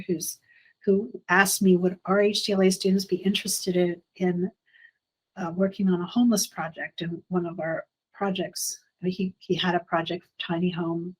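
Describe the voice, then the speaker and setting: A middle-aged woman with a slightly husky, low-set voice walks parents through slides for a school orientation. She speaks casually and haltingly, filling gaps with ums and restarting her sentences. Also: flat delivery, rough recording. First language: English. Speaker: school administrator.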